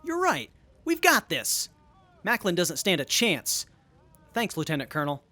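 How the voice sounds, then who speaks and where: A man playing an earnest, literal-minded young soldier in an audio drama, speaking in a deadpan way. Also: high voice, normal speed, exaggerated voice.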